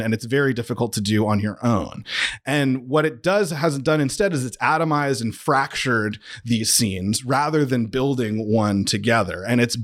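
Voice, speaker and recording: A man with a medium-pitched voice talks as a guest in a conversational interview. He is earnest and emphatic, building an impassioned argument and often doubling back to repeat or rework a phrase. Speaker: interview guest, comedy and audio writer.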